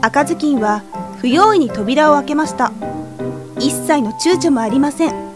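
Woman storyteller, scripted fairy-tale narration, high pitch, polite, slightly wry, background music. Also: lively intonation, moderate pace. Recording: music behind the voice.

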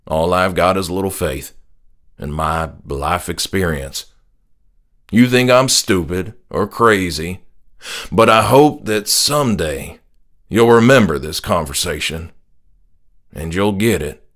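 A man plays a grieving, devout middle-aged father in a horror audiobook. His voice is low and subdued. He speaks slowly and haltingly, with earnest conviction. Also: animated, a bit bright, full character voice. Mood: sad, earnest. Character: grieving middle-aged father, soft-spoken, tearful, steadfast in faith.

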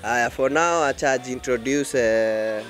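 A man's voice: mid-range voice, a little slow, fairly bright tone. A young man with a Kenyan accent hosting a casual interview, switching between Swahili and English, on a handheld mic. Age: young adult. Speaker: show host, interviewer. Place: inside a supermarket. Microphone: handheld microphone. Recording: music playing behind the voice. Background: music.

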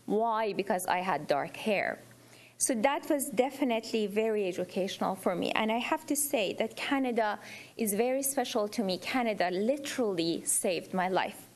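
A woman's voice: mid-range voice, lively intonation, moderate pace. Earnest, emphatic woman speaking publicly. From Iran. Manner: earnest, emphatic, repeats phrases for stress. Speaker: audience speaker at a public forum. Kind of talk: impromptu public remarks to a panel.

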